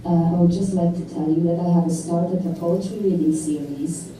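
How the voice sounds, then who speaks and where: A woman reads her poetry slowly and deliberately, in a mellow, low voice. The sound is roomy and distant, as if caught from a hall microphone. A soft hum of room noise sits underneath. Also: fairly even intonation. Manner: measured, deliberate recitation. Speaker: poet at a public reading. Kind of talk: slow recited poetry reading. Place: lecture hall. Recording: echoey hall, distant mic.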